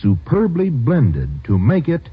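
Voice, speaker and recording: A man's voice: medium pitch, huge pitch swings, slow, slightly rough. A man delivering an emphatic, persuasive old-time radio commercial as an announcer. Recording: muffled old radio broadcast recording.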